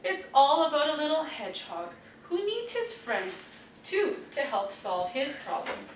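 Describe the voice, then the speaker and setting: Lady reading kids books out loud, slow n super expressive, echoey and far from mic, bit of noise. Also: high voice. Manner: expressive, deliberate storytelling for young children. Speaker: storybook reader. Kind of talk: children's read-aloud narration. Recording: roomy, distant mic, faint noise.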